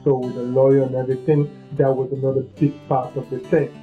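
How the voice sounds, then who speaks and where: A man from Cameroon, telling his own story in a casual interview, halting and often checking in with the listener. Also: mid-range voice, level tone. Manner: halting, leans on "you know what I mean". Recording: dull lo-fi sound with faint music.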